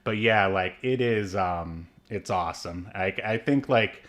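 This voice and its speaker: A man's voice: low voice, unhurried, fairly bright tone. A man in his thirties co-hosting a podcast, chatting casually with lots of fillers and laughs. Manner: rambling, many fillers, laughs often. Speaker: podcast co-host. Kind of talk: casual chat.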